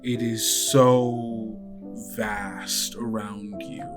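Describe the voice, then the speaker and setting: Slow-paced game master over soft music. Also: mid-range voice, flat delivery. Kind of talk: improvised tabletop game narration. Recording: guitar music under the voice.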